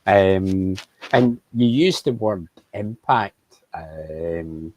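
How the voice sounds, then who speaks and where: A man who represents a charity, speaking in a measured, thoughtful way in an interview. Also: deep voice, a little mellow. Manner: measured, thoughtful, asks questions. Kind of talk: conversational interview.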